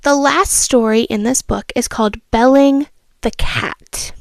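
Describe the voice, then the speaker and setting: A woman reading a children's storybook aloud, expressive and teacherly, with asides and questions for the listener. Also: a little high, measured pace, gravelly.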